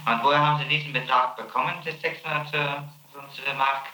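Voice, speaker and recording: A man's voice: mid-range voice, fairly even intonation, a little fast, pushed and bright, reverberant, a little hiss or hum, poor audio. A man working as a prosecutor, questioning a witness with probing, insistent questions on an archival tape. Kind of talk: archival interrogation recording.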